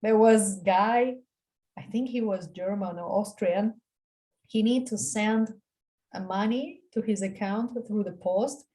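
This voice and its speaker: Mellow-voiced Slavic woman telling stories. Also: medium pitch, slow pace. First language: a Slavic language. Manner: animated storytelling with quoted voices; frank, swears casually. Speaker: guest. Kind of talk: casual interview chat.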